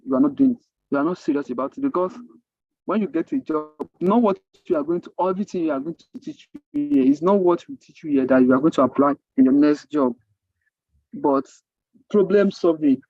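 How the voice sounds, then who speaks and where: A man with a Nigerian accent and Yoruba as his native language, mentoring a class in an informal, halting way, repeating himself and asking rhetorical questions. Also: on the high side, vocal fry, no room sound, muffled recording. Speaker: coding mentor. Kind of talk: informal online teaching.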